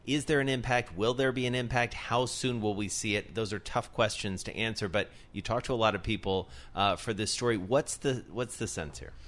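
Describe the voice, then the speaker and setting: A man hosting a business radio interview, introducing his guest and asking questions in a relaxed, conversational way with joking asides. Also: on the low side, brisk, hardly any pauses. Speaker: radio show host.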